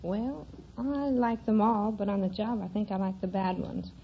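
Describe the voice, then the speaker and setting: A woman's voice: medium pitch, fairly even intonation, moderate pace, gravelly. A young American woman answering a host informally as a contestant on a radio quiz show. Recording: noisy, muffled old radio recording.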